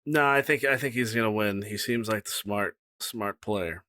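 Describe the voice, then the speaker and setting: A man's voice: on the low side, moderate pace, bright, forceful tone, no echo, studio quality. A man co-hosting a podcast in casual chat, joking and emphatic. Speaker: podcast co-host. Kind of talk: casual chat, reality TV recap.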